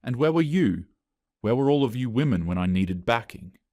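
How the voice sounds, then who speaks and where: A man voicing a furious, defiant woman HR manager in a flat, even reading. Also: mid-range voice, moderate pace, no echo, clean studio sound, voice pushed up, natural voice. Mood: angry.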